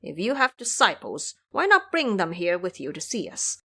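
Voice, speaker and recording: A woman's voice: on the low side, sing-song, moderate pace, sharp tone, slightly rough, exaggerated voice. A woman playing an imperious, emotional king with a grand, formal manner in an audio drama. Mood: chiding. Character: distraught, pleading, imperious king. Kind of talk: audio drama character acting.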